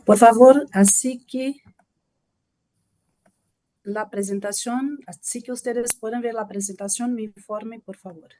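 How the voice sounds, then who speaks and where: Brazilian woman lecturing in Spanish, higher voice. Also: fairly even intonation, unhurried, pauses often, warm tone. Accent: Brazilian Portuguese. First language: Portuguese. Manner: hesitant, polite, restarts often. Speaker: guest speaker. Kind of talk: online lecture presentation.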